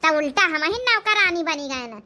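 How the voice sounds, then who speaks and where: A woman voicing a grumbling, scolding elderly village mother-in-law in a cartoon comedy, with an Awadhi accent and a complaining, sharp-tongued manner. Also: very high-pitched, animated, moderate pace, full character voice. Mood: bitter grumbling.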